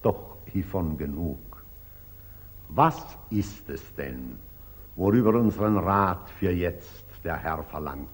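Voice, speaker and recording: An actor playing a pompous, unctuous elderly church patriarch, preaching and condescending in 1940s German radio drama style. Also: male voice, sits low, expressive, slow pace, husky, heavily acted. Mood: preaching, grand. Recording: old muffled 1940s radio recording.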